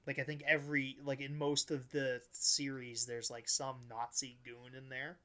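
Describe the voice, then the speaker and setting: A man's voice: mid-range voice, flat delivery, normal speed, sharp tone. A man co-hosting a podcast, giving casual analysis in a choppy, hesitant way with many restarted sentences. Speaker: podcast co-host.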